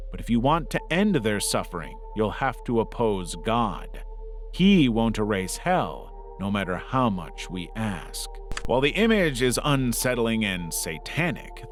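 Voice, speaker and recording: A man narrating a scripted documentary-style video essay as its narrator. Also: mid-range voice.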